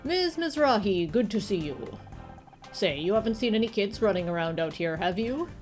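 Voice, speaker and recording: A woman's voice: mid-range voice, lively intonation, normal speed, lightly acted. Hapless, friendly security guard voiced by a woman, chatty and folksy, game character with music bed. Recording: music behind the voice.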